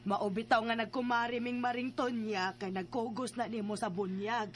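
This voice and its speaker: Radio play: actress as older mother, somewhat high-pitched, Cebuano-accented, fed up and sure of herself. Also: fairly even intonation, moderate pace, slight background noise, lightly acted. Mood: exasperated. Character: exasperated, insistent older mother. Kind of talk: radio drama acting.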